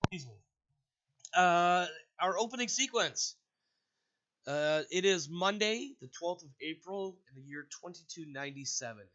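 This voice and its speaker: Game master, man, congested, rambling host, forceful tone, lo-fi chat sound. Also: sits high, lively intonation, normal speed. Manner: rambling, casual, with frequent restarts. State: stuffy and congested. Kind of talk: hosting and narrating a tabletop game. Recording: dull, lo-fi voice chat audio.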